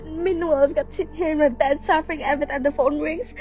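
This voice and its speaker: A woman's voice: high-pitched, steady tone, fast, a little mellow, muffled recording, natural voice. A young woman playing a nervous, demanding invalid woman with plaintive, imperious outbursts in an amateur radio play. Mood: indignant. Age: young adult. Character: frantic, demanding invalid woman alone in bed. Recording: dramatic music laid under the voice.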